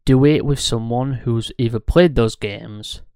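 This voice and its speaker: A man's voice: medium pitch, a little slow, mellow, clear, resonant tone, no echo, studio quality. A man co-hosting a podcast in casual chat, eager and rambling, often correcting himself mid-sentence. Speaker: podcast co-host.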